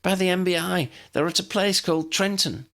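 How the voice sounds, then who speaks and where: A man's voice: high voice, a little fast, creaky, sharp tone, voice pushed up, full character voice. A man voicing an anxious, breathless young woman in an audiobook story. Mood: breathless alarm.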